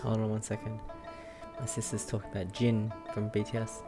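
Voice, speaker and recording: Young guy gamer reacting out loud, informal, game music clearly behind him. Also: fairly low pitch, flat delivery, brisk. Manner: giggly, informal, thinks aloud. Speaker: gamer playing and reacting to a story quest. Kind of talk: casual let's play commentary.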